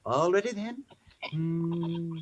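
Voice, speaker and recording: A man's voice: fairly high pitch, takes it slowly. An actor playing an older male mouse trainer in a 1950s radio drama, coaxing and chuckling.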